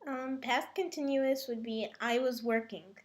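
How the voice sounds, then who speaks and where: A female student speaks in class at a slow pace. Her voice sits a little high, with the delivery of someone answering or reading aloud for a teacher. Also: steady tone. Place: indoor classroom.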